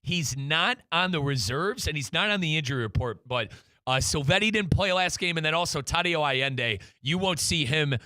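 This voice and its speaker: A man hosts lively sports talk in a bright, slightly high voice. His delivery swings widely in pitch and comes across emphatic. The sound is dry. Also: normal speed, dry recording. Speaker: sports talk show host.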